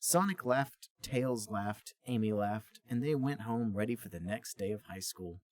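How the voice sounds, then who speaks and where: A man co-hosting a podcast, reading comic fan fiction and chatting casually in a deadpan, mock-serious way. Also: lowish voice, measured pace. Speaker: podcast co-host and reader.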